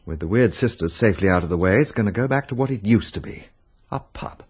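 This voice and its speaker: British gentleman amateur detective, radio play: thoughtful, gently wry, husky, very expressive intonation. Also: fairly low pitch, fast talker. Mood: tender, amused. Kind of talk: British radio drama acting.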